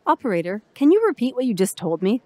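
A woman's voice: fairly high pitch, quick pace. An actress playing a curious, bewildered woman traveller in a naturalistic audio drama. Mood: questioning. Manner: expressive, questioning.

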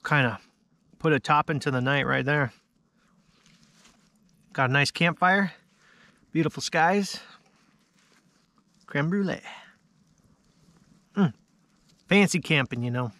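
A man's voice: fairly high pitch, slow, pauses often, sharp tone, no room sound. A man in his thirties vlogging casually at a snowy night campsite, savoring food and chatting to viewers. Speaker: outdoor vlogger. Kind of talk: casual chat to camera.

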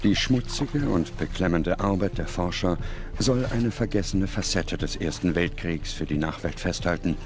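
A man reads scripted documentary narration in a measured, serious manner. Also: low voice. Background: music.

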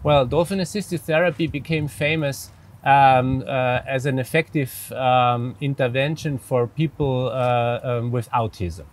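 A man in his forties from Austria, speaking English with an Austrian German accent as a psychologist guest, measured and careful with many fillers, outdoors by a pool. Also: medium pitch, fairly even intonation, a little hiss or hum. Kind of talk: interview answers.

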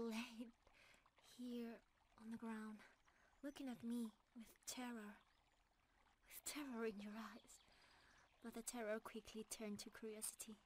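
Woman playing a loving deer-girl forest creature, ASMR roleplay, breathy and whispery, fairly high pitch, tender, faint nature ambience behind. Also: a little hiss or hum. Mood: gentle, reassuring. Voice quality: soft, breathy, often whispering. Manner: calm, delicate, tender, unhurried. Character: gentle, loving, timeless female deer-centaur forest creature.

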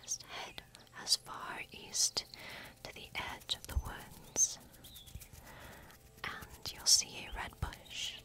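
A young woman voicing a kind, curious forest witch in breathy, whispered ASMR fantasy roleplay, telling stories gently and without hurry, close to the microphone. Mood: caring, earnest. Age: young adult. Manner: gentle, unhurried, theatrical storytelling. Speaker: ASMR performer.

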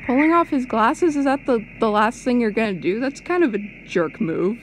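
A young woman giving casual live commentary on game cutscenes, in musing, halting asides. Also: fairly high pitch, animated, slight background noise, rough recording. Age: young adult. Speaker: reaction video host. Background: game audio and music.

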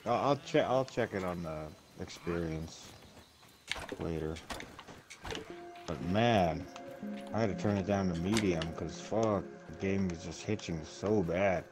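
A man's voice: low-pitched, a little slow, long pauses, over music. A man chatting casually while gaming, rambling and swearing freely. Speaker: gamer talking while playing. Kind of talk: casual chat. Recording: clearly noisy game-session mic, clicks.

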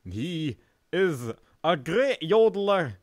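A man's voice: a little high, sing-song, takes it slowly, no echo, clean studio sound. A man playing a pompous, rambling, absurdly reverent expert in an improvised mock documentary.

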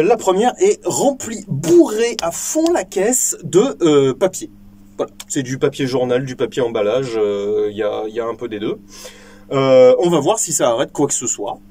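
A young man hosting a casual vlog from a small indoor shooting booth, joking, swearing freely and filling pauses with lots of ums. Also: high voice, warm tone, a little hiss or hum. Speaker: firearms video host.

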